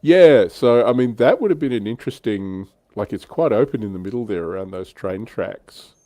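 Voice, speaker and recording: A man with a fairly low voice, recorded close and dry. He hosts a casual hobby livestream, talking conversationally and readily poking fun at himself. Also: lively intonation, normal speed, mellow, no room sound. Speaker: livestream host. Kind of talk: casual hobby chat about tabletop wargames.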